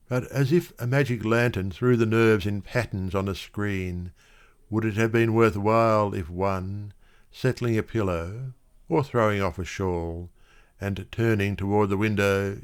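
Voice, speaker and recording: A man reads poetry aloud in a low voice. He takes his time, very slow and measured, shaping each phrase expressively as a dramatic literary recitation. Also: steady tone, clear, resonant tone. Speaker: poetry reader.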